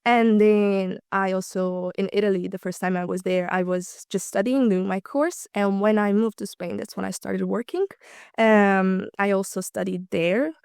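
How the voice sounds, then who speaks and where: A woman's voice: medium pitch, fairly even intonation, normal speed, creaky, darkish tone, clean tone, no room sound, clean studio sound. A woman in her twenties from Slovakia, a native Hungarian speaker, chatting casually as a podcast guest with frequent ums and light laughs. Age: 20s. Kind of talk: casual conversational interview.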